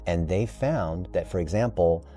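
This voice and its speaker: A man in his early fifties with a fairly low, very mellow voice. He explains at length in a conversational style, sounding enthusiastic and earnest, and leans on his points for emphasis. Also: unhurried, faint music behind. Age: early 50s.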